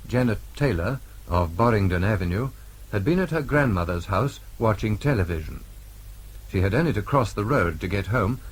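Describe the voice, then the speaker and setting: Low, husky British male newsreader. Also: poor audio. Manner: measured, formal. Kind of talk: formal scripted radio news bulletin, mid-20th-century.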